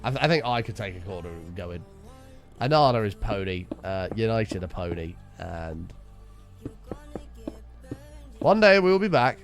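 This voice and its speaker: A man streaming a game, chatting casually and wryly with his viewers while his voice is hoarse and sore. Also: on the low side, very animated, normal speed, long pauses, soft background music. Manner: bantering, wry, teasing chat members.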